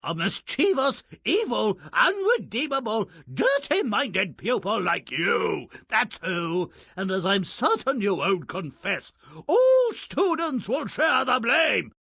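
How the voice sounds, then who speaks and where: An actor playing a stern, spiteful schoolmaster in a fairy-tale video game, pompous, wordy and scolding. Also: male voice, high voice, huge pitch swings, slow, gravelly, sharp tone, husky, dry recording, full character voice. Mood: pompous outrage.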